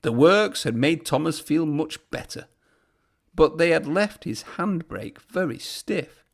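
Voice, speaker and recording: A man's voice: on the high side, animated, a little slow, gravelly. A man narrating a children's storybook aloud as a storyteller.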